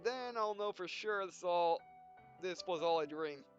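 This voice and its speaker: High-pitched man reading a game aloud, muffled audio. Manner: halting, reads punctuation and speaker names aloud. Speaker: let's player reading visual novel text aloud. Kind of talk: casual gameplay read-aloud with asides. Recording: dull, lo-fi sound with music behind. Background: game music.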